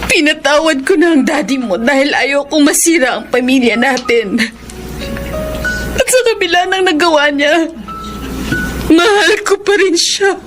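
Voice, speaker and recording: A woman's voice: sits high, animated, unhurried. A woman playing a bitter, betrayed middle-aged wife and mother in an emotional melodrama, with a Filipino accent and an accusing, dramatic delivery. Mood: bitter, subdued. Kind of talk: melodramatic radio drama acting. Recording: dramatic music behind the voice.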